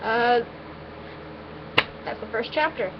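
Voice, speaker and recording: Woman, high-pitched voice, amateur story reader, informal delivery with occasional stumbles, noticeable background noise. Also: takes it slowly. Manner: informal, stumbles and breaks off with asides. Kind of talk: first-person story reading, informal. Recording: muffled, noisy amateur recording.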